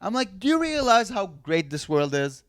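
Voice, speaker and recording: Animated man from Lebanon, dry close mic. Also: high-pitched, moderate pace, no echo. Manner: animated, mocking impressions and bursts of laughter. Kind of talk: casual podcast banter.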